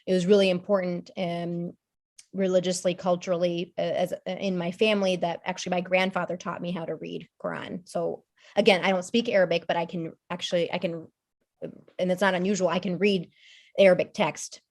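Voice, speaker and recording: Woman in her 30s on a podcast, kinda low voice, rambly and thoughtful, lots of ums. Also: little pitch movement, fairly quick, darkish tone. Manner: thoughtful, rambling, many fillers and restarts. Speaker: podcast guest. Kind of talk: casual conversational discussion.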